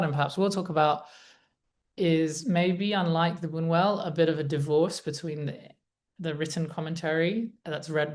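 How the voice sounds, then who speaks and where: A woman's voice: on the low side, darkish tone. A woman lecturing in an informal academic style, thoughtful and a little hesitant, qualifying her points as she goes. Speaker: lecturer, co-presenter.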